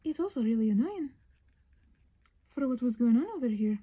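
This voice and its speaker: A woman rambling casually in an ASMR style while crafting, musing aloud and gently reassuring, her soft breathy voice often slipping into a whisper. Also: on the high side, lively intonation, moderate pace, highs cut off.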